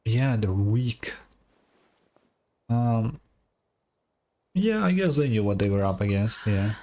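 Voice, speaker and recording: A woman's voice: a bass voice, lively intonation, slow, dark tone, a little hiss or hum. A woman streamer giving casual, offhand and blunt live commentary while reacting to a game.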